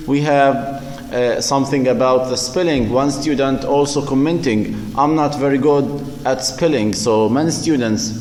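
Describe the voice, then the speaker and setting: Slow, halting man lecturing. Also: mid-range voice, darkish tone, reverberant, long reverb tail. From Palestine. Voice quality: slow and halting, many fillers and repeated words. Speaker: university lecturer. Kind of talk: recorded online lecture.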